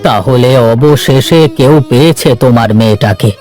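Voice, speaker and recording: A man playing an anxious village headman in an animated moral story, giving orders and warnings in a cautionary way. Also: medium pitch, normal speed, close to an everyday voice. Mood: worried, cautioning. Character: anxious village headman, worried father in hiding. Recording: music behind the voice.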